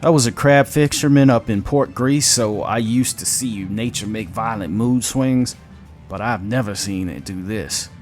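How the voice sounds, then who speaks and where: A man voicing a calm, wry male driver who used to be a fisherman. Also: fairly low pitch, measured pace, faint music behind, close to an everyday voice. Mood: wary.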